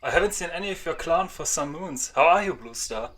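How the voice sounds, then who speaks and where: A man's voice: fairly high pitch, lively intonation, brisk, fairly bright tone. Guy doing a friendly easygoing farm cat in an audiobook, chatty, voice bounces up and down. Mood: amiable. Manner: amiable, chatty. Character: friendly, stout loner tomcat, amiable and easygoing. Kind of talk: fandub audiobook voice acting.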